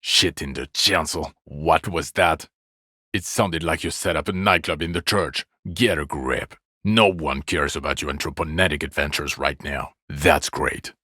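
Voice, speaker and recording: An actor playing a bitter, exasperated police detective in a video game, sarcastic, scornful and quick to swear at his drunk partner. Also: extremely low pitch, pushed and bright, no room sound, studio quality, exaggerated voice.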